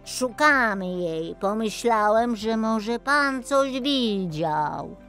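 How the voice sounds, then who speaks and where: A timid, polite young road roller from a children's storybook, played by a woman. He speaks very slowly and hesitantly, pitched a little up, with music behind. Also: animated, fairly bright tone, full character voice. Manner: hesitant, deferential, drawn-out.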